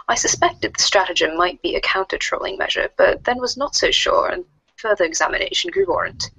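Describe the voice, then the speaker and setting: A woman playing a formal, stiffly scheming female alien troll in a comic readers theater, speaking in wordy, deliberate sentences. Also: medium pitch, big pitch swings, creaky, pushed and bright, breathy edge, no echo, full character voice, rough recording. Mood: smug, formal. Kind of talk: readers theater of web comic chat logs.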